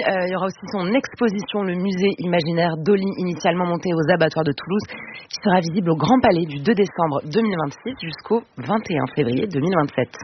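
A man's voice: sits high, slight background noise. A man presenting a culture programme on the radio, reading scripted items and interviewing. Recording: dull radio sound over music bed. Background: music.

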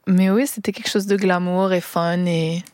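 A young woman talks in a dry, close studio sound, her voice sitting a little low. She hesitates and restarts often, slipping English words into her sentences. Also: steady tone, normal speed, a little mellow, dry recording. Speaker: singer, radio guest. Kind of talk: casual radio interview. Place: radio studio. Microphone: studio microphone.

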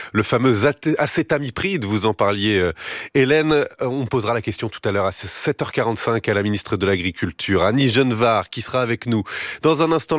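Man, radio host, measured, dry studio sound, mid pitch. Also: dry recording. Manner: measured, with some fillers. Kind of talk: radio news presenting.

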